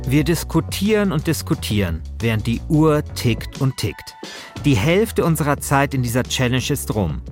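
A man's voice: mid-range voice, very animated, moderate pace. A man narrating a scripted first-person story as podcast host, in speech with a wry, dramatizing manner. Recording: music behind the voice. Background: music.

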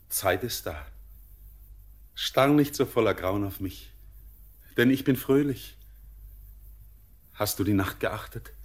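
An actor playing Elis, a young miner who is dreamy and ardent, with a husky voice. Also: male voice, on the low side, full character voice. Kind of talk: classic radio play acting.

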